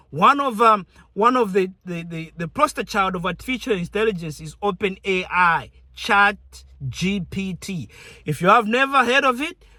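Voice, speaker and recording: Bright-voiced man from Zambia, chatty. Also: high voice, dry recording, clean studio sound. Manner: chatty, conversational, repeats words and restarts. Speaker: YouTuber. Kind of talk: casual direct-to-camera talk.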